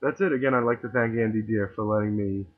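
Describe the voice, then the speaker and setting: A man's voice: lowish voice, fast. A man with a New York accent hosting a podcast, ranting in an opinionated, sarcastic way and rambling with frequent restarts. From New York City. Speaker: podcast host. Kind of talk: casual ranting monologue. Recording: tinny phone-like audio.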